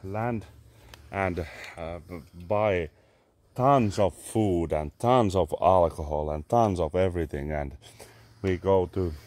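A man in his thirties with a Finnish accent, vlogging in halting English full of fillers and swearing, outdoors in a garden on a handheld phone. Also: low-pitched, darkish tone, no echo. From Finland. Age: 30s. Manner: halting, many "uh" fillers, swearing, sipping a drink. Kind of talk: casual rambling selfie vlog. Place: garden among trees, outdoors. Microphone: phone held at arm's length.

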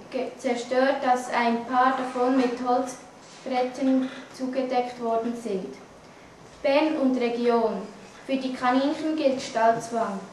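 Young swiss german girl reading the news, high voice, stumbles a bit, recorded far from the mic. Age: teenager or young adult. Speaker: student news reader. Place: classroom, indoors. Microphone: camera mic at a distance. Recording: echoey room, distant mic, faint noise.

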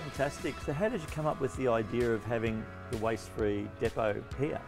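A man's voice: mid-range voice, brisk. A middle-aged Australian man casually presenting and interviewing for a promotional video. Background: music with guitar.

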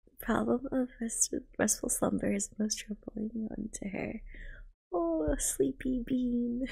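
A young woman giving gushing, casual reaction commentary in a hushed, half-whispered ASMR manner, close to the microphone. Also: on the high side, takes it slowly, vocal fry, husky, poor audio. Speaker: VTuber reacting to a game trailer.

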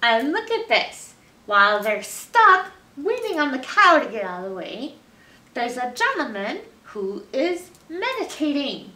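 Animated American woman reading to children, bright voice. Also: high voice, unhurried. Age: middle-aged. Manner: animated, expressive, addressing young listeners. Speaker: librarian storyteller. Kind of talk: children's story time read-aloud. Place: small library room, indoors. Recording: roomy, picked up from a distance.

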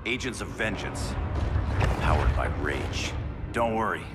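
A man's voice: fairly low pitch, lively intonation, unhurried, loud music behind the voice. A man playing a cocky, willful superhero in a video game, with a wry, resolute and gritty manner. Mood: wry resolve. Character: cocky, willful young-to-middle-aged male superhero, guilt-haunted. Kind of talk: dramatic video game cutscene acting.

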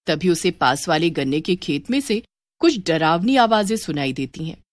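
A woman's voice: fairly low pitch, animated, normal speed, dry recording. A woman narrating a scripted story as its narrator.

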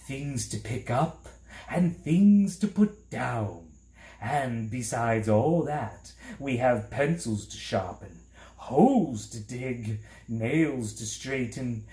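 A man voicing a sly, menacing demon of petty tasks in a storybook, with a drawn-out, theatrical, coaxing delivery. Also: mid-range voice, dark tone, roomy sound.

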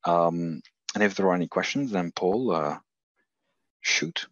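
Low-voiced Belgian man, hesitant lecturer. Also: a little slow, fairly bright tone, no echo, lo-fi sound. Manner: hesitant, many fillers and restarts. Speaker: researcher presenting. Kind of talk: conference talk on a video call. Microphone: video call.

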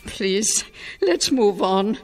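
An actress playing a modest, gentle elderly wife in a radio drama, marveling and self-effacing. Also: female voice, medium pitch, sing-song, extremely slow. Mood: wistful, self-effacing. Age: older.

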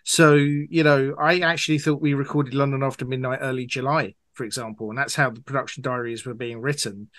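A man with a mid-pitched voice talks as an interviewed author. He explains his points conversationally and at some length. The sound is close, with very little room. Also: fairly even intonation, brisk, few pauses, a bit bright, dry recording.

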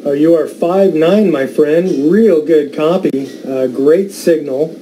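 A man with an American accent giving a casual radio gear demonstration, pausing between phrases. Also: on the high side, dark tone, rough recording. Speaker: amateur radio YouTuber. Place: indoors at a desk. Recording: faint background noise.

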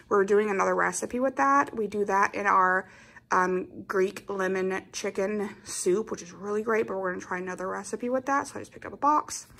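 A woman's voice: medium pitch, gravelly, a bit bright. A young woman in casual chat, showing her groceries and thinking aloud with little fillers as she talks. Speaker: vlogger. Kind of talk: casual grocery haul talk. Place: indoors at a table.

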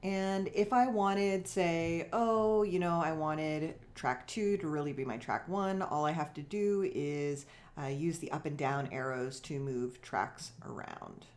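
A woman with a low-pitched voice presents a screencast tutorial. She takes her time, explaining each step in an informal, casual style. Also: measured pace, few pauses, a little mellow.

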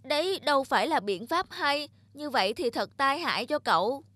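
A man's voice: very high-pitched, animated, normal speed, sharp tone, dry recording, full character voice. A man playing a lonely, kind-hearted big red demon in a cartoon, with an earnest, emphatic delivery. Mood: sad. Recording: music under the dialogue.

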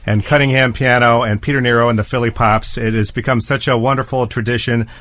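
A woman's voice: extremely low pitch, fairly even intonation, fast, a bit bright, dry recording. A woman radio host doing casual, friendly interviews on a morning show.

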